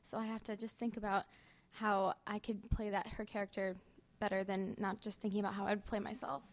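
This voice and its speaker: A woman's voice: mid-range voice, flat delivery, brisk. A teenage girl answering interview questions hesitantly, with many fillers. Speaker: student actor.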